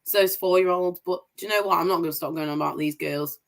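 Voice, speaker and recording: A young woman from Manchester hosts a casual livestream football roundup. Her voice sits slightly low and sounds posh. She talks in an upbeat, chatty way and keeps her enthusiasm up while she lists results. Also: steady tone, quick pace, vocal fry. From Manchester, England. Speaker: host of a women's football review show.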